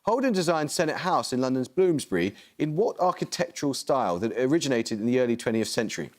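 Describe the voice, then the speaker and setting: A man hosting a student quiz show, reading questions and giving rulings in a crisp, brisk manner. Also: mid-range voice, big pitch swings. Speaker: quizmaster.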